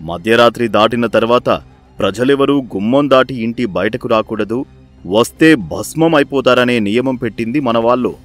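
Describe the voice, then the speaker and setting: A man voicing a greedy, scheming village-head father who commands his son in a sly, domineering way, for an animated story. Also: mid-range voice, moderate pace, faint music behind, lightly acted.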